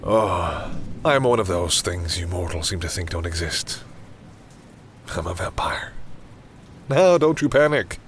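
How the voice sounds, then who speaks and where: A deep male vampire , acted by a man, speaks intimately to his prey in an audio drama. He is condescending and sure of himself, slipping between cool commands and breathy musing. A low rumbling noise sits behind the voice. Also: lively intonation, a little slow. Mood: hungry, taunting. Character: confident male vampire predator, smug and coolly menacing. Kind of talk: intimate audio roleplay, acted monologue to the listener.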